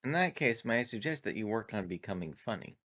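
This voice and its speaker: Formal, deadpan man with a low, bright voice. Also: fast. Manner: formal, precise, deadpan wording. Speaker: tabletop roleplaying player. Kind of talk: in-character roleplay as an android.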